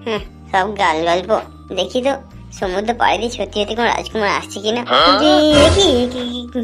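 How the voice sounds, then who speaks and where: A brash young village woman played by a woman in a cartoon, with a high voice. She grumbles to herself and scolds others bluntly, with music playing behind her. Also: natural voice. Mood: grumbling, then alarmed. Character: brash, foul-mouthed young village clothes-seller woman. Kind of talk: cartoon dialogue.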